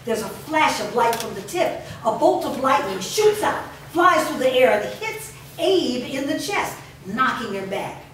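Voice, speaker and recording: A woman's voice: high voice, very animated, a little slow, hardly any pauses, a bit bright. A woman reading stage directions aloud as scripted dramatic narration. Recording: echoey room, distant mic, faint noise.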